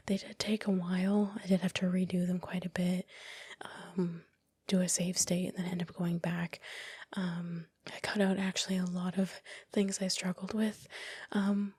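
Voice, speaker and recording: A woman narrates in a soft, fully breathy ASMR whisper, recorded close and very dry. Her delivery stays flat and even. She rambles casually through her own process, often critical of her own efforts. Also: on the low side, dry recording. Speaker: ASMR video creator narrating own work. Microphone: close microphone, dry voice-over.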